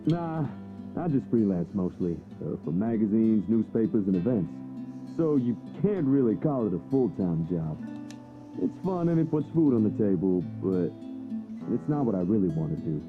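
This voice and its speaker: An actor playing an easygoing, modest photographer chatting casually in a video game. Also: male voice, lowish voice, very animated, normal speed, phone-quality sound, music in the background. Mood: relaxed, chatty. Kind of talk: visual novel voice acting.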